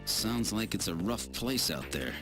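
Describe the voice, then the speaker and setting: A man's voice: lowish voice, expressive, unhurried, music in the background. A man playing a casual, wry young-at-the-job detective hero in a video game, often questioning. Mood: wry, curious.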